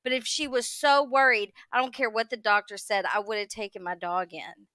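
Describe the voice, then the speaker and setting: High, bright, blunt woman chatting. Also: fairly even intonation, normal speed, dry recording. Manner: blunt, exasperated, swears freely. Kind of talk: casual gossip chat.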